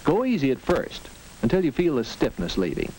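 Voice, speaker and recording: A man narrates a vintage instructional film in an earnest, teacherly way. His voice rises and falls with lively expression. The old soundtrack carries a faint hiss and occasional clicks. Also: mid-range voice, a little fast, rough recording. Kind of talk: 1940s educational film narration.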